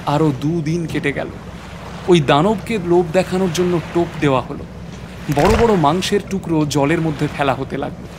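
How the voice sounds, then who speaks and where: An actor playing an adventurer who narrates a suspenseful sea voyage in a dramatic, literary storytelling style. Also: male voice, fairly high pitch, moderate pace, noisy background, close to an everyday voice.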